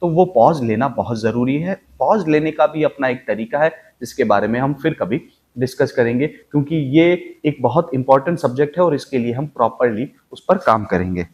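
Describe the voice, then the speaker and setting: Man, medium pitch, acting coach, instructional delivery, emphatic, repeats key points. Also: lively intonation, moderate pace, a little mellow, slightly rough. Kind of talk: instructional acting lesson with spoken demonstrations.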